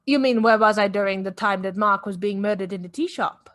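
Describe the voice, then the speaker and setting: A woman's voice: mid-range voice, brisk, fairly bright tone, dry recording, clean studio sound, close to an everyday voice. An actress playing a composed young woman under police questioning in a radio play, curt and guarded.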